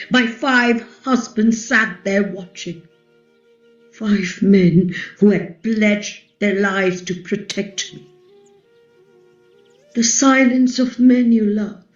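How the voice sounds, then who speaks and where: A woman playing a fierce, proud ancient Indian queen in a formal, theatrical play reading. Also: a little high. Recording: echoey, slightly dull, music underneath.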